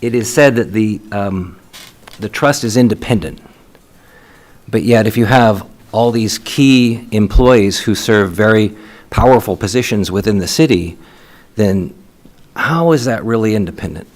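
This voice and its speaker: A man with a fairly low voice leads a city council meeting, calling roll and moving through the agenda. He speaks haltingly, restarting phrases and filling gaps with "uh" and "um". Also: darkish tone. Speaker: mayor chairing a council meeting. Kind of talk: chairing a public meeting.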